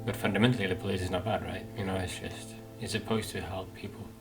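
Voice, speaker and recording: A man hosts a game playthrough in a fairly low voice. He muses casually and hesitantly, thinking aloud, while game music plays clearly behind him. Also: steady tone, fast talker. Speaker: gaming video host.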